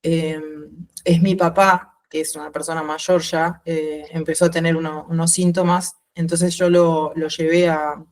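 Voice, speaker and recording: A woman's voice: sits low, level tone, a little slow, a little mellow. Woman with mixed Uruguayan-Spanish accent. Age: 30s. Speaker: podcast guest. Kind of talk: informal interview, personal account.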